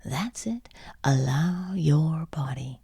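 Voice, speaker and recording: A woman guiding a sleep meditation, speaking gently and soothingly in a breathy, creaky half-whisper with lingering pauses. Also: low voice, animated, dry recording, studio quality. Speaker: meditation guide. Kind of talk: guided sleep meditation, soft instruction.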